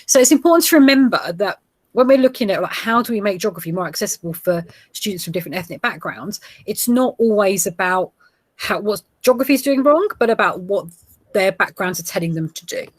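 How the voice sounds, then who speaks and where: A woman's voice: mid-range voice, a little fast, dry recording. Woman teacher presenting in a dry booth. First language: Gujarati heritage, English now first. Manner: steady explanatory delivery with fillers. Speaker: geography teacher.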